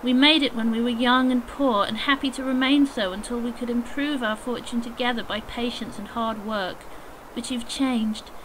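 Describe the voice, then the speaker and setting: A woman's voice: on the high side, steady tone, moderate pace, fairly bright tone, slight background noise, close to an everyday voice, poor audio. A woman playing an earnest, sorrowful young fiancée in an audio drama, speaking formally. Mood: sad reproach.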